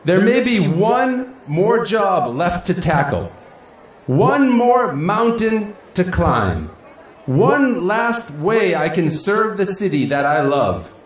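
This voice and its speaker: High-voiced, folksy veteran politician man. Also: big pitch swings, exaggerated voice. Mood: jovial, grateful. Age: middle-aged. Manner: rousing, grandiose oratory with dramatic pauses. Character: seasoned, folksy, self-assured male city politician. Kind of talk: audio drama acting, campaign speech. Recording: echoey hall, faint crowd and noise.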